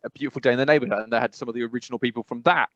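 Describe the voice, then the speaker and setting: A man's voice: mid-range voice, fairly even intonation, rapid-fire, a bit bright, no room sound, dull audio. A man chatting casually as a podcast co-host, rambling and acting out reactions as he goes. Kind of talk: casual chat.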